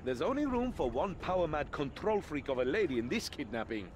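A man's voice: a little high, sing-song, a little fast, background noise. A man playing an earnest, defensive young adventurer hero in a video game.

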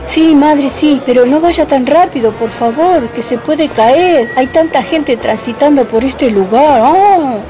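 An actress playing a polite, naive young woman in a radio soap opera, gentle and deferential. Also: high-pitched, a little slow, few pauses, over music, low-quality recording. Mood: concerned, respectful. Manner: deferential, careful.

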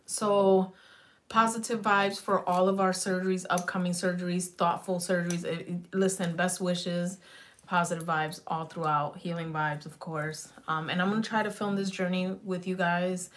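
A woman in her thirties chatting loosely on a beauty livestream, swearing freely, dropping in Spanish words, her slightly raspy voice going in and out. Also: fairly low pitch, steady tone, normal speed, a little hiss or hum. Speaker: beauty vlogger. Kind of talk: rambling casual livestream chat. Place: small home beauty room, indoors.

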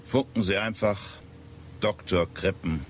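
An actor playing a terse, authoritative ship's captain giving curt, measured orders in a German radio drama. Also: male voice, low-pitched, a little hiss or hum. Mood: businesslike.

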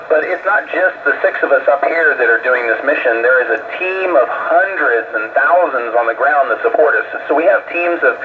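A man explaining things conversationally in unscripted answers as an astronaut, speaking over an amateur radio link. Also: medium pitch. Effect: radio transmission. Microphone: radio transceiver microphone. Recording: muffled, noisy ham radio link.

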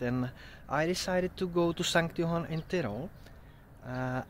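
A man in his forties with a Czech accent, a native Czech speaker talking casually to camera in a vlog. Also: fairly high pitch. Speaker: vlogger and amateur pilot. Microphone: phone at arm's length.

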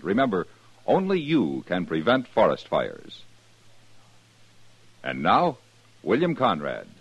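50s radio announcer guy, lowish voice, lo-fi dry broadcast. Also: no echo. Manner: smooth, lively, formal announcer delivery.

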